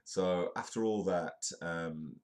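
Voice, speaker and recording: A man with a very low, deep voice and a British accent, hosting a comedic news stream. His nose is stuffed up and bunged from a cold, and he keeps a chatty, joking tone while reading stories aloud. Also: takes it slowly, a little mellow. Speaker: host. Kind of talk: comedic live news show.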